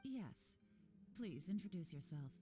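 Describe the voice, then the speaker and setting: A woman's voice: lowish voice, very animated, measured pace, warm tone, soft background music. A woman playing a composed, commanding character in a video game, cool, teasing and self-assured. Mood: businesslike.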